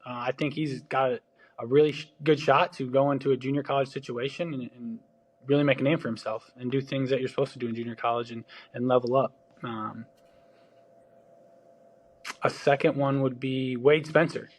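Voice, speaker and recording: A man with a mild Wisconsin accent, talking casually as a baseball scout on a podcast. His delivery is loose and meandering, full of ums and false starts as he runs through players. He sits at an ordinary middle pitch. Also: fairly even intonation, fairly quick. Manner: loose, filler-heavy talk with frequent restarts.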